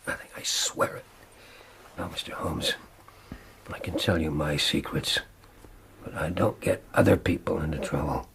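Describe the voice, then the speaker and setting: A grim, vengeful murderer giving his confession in a radio drama. The voice is extremely low and full of gravel, dramatic and unrepentant, with faint noise behind it. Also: normal speed, pauses often. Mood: defiant. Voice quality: very deep, gravelly.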